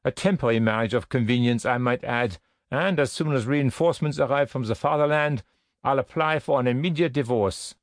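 A man's voice: mid-range voice, a little fast, no room sound, clean studio sound, pitched above the performer's own voice, close to an everyday voice. A man voicing a haughty, wry German SS captain in an audiobook, pompous and over-polite with open disdain. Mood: suave.

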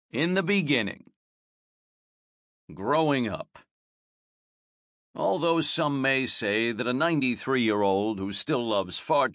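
A man's voice: medium pitch, a bit bright, dry recording. A man reading a first-person memoir aloud in a wry, self-mocking storytelling manner, close to a studio mic. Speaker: audiobook narrator.

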